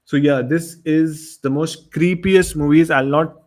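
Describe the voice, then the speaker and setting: A man's voice: fairly high pitch, steady tone, measured pace, a little mellow. A man with an Indian accent hosting a horror show, chatting casually to his listeners and repeating phrases for emphasis. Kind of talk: casual livestream chat to listeners.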